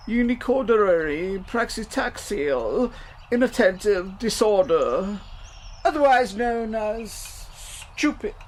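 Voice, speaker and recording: An actor playing a foolish, flattering, long-winded man in a comedy audio drama, speaking in a pompous, muddled way. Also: high-pitched, a little hiss or hum. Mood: muddled, apologetic.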